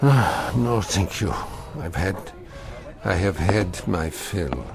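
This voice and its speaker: An actor playing a weary, anguished older German immigrant in a radio drama, speaking with bitter, emphatic rhetoric. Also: male voice, sits low, animated, vocal fry, heavily acted. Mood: haunted, weary. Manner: slow, emphatic, bitterly rhetorical with repeated phrases. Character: weary old German immigrant man, anguished, defiant, scornful of fascists. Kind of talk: theatrical radio drama acting.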